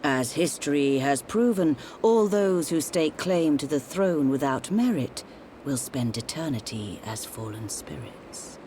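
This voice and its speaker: A man's voice: lively intonation, darkish tone, slight background noise. A man playing a solemn, sinister priest of an imperial court in a video game, speaking ceremoniously. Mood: solemn, ominous. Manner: formal, ceremonious, deliberate. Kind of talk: video game cutscene acting. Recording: rumbling ambience behind voice.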